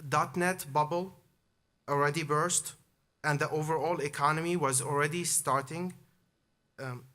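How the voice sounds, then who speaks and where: A man's voice: fairly high pitch, bright, forceful tone. A man in his thirties from Jordan delivering a prepared commencement speech built on his personal story. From Amman, Jordan. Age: 30s. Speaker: commencement speaker, alumnus.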